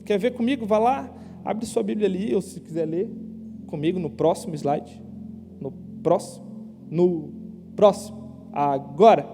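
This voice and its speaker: A young man preaching a casual youth sermon in a church, slangy and conversational, asking the crowd quick questions. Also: high voice, creaky, music in the background. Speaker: youth pastor. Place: church hall. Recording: live church hall with long reverb.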